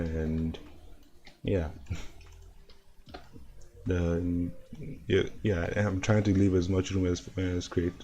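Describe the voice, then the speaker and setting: A man with a deep, dark, hoarse voice talks slowly into his desk mic, worn out from no sleep. He rambles in a laid-back way with little rise and fall, chuckling between thoughts as he reacts to clips on stream. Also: a little hiss or hum. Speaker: animator streamer. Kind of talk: casual livestream chat and reactions.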